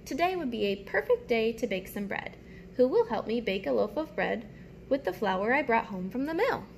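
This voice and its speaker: A woman's voice: on the high side, sing-song, normal speed, creaky, slight background noise. A woman voicing a hardworking, self-reliant little red hen from a storybook, in a plain and emphatic delivery. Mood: asking.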